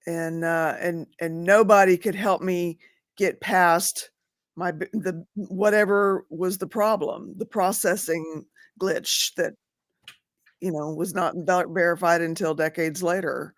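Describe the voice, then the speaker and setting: A woman in her fifties in a casual recovery meeting chat, chatty and quick to laugh, asking follow-up questions. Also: lowish voice, fairly even intonation, no room sound, clean studio sound. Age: 50s.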